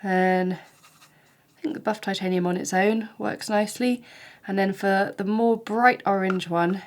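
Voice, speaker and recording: A woman, a little snuffly with hay fever, talking through a watercolour painting tutorial in a gentle, chatty, encouraging way. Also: medium pitch, steady tone, measured pace. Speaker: watercolour art teacher.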